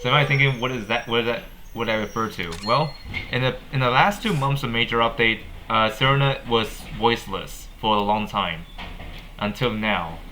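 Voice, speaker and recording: A man hosting a gaming video with casual update commentary, speaking haltingly and often repeating and rephrasing his words. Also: medium pitch, bright, forceful tone, slight background noise.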